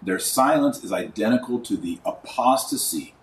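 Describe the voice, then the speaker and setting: A man's voice: on the low side, lively intonation, normal speed. An American man hosting a podcast, giving an informal, opinionated monologue and emphatically listing points with repetition. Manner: emphatic, repeats and lists items for effect. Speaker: podcast host; online course teacher. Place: indoors at a desk. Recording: roomy echo, distant mic, faint noise.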